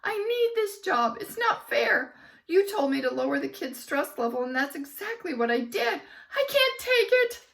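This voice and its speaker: A woman teacher in full meltdown, as a woman reading aloud puts her on, shrieking and wailing. Her very high voice cracks with gravel and swings wildly. A storybook tantrum. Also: animated, normal speed, hardly any pauses, fairly bright tone, recorded from a distance. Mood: hysterical.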